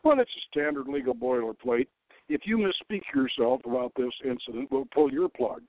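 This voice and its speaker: A man's voice: big pitch swings, moderate pace, natural voice. Man reading as fatherly, coercive general, phone-like sound. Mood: quietly threatening. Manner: paternal, low-key, quietly threatening. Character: fatherly older male general, smoothly coercive. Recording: thin phone-like radio line.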